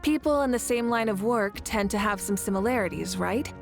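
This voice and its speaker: A calm, confident leader. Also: female voice, mid-range voice, a little fast, gravelly. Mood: composed. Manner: calm, reassuring, self-assured. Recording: game audio over soft music.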